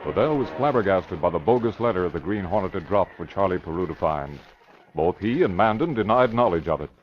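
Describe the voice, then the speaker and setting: A man's voice: sits low, lively intonation, brisk, a little hiss or hum. A man narrating an old-time radio crime drama in scripted style. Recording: dull vintage radio recording with music. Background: dramatic music.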